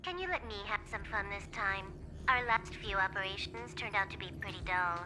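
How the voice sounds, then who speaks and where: A cool young hacker villain acted by a woman talks at a medium pitch in a casual, bored, teasing way. It is game dialogue with music clearly behind it. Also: fairly quick, natural voice, poor audio.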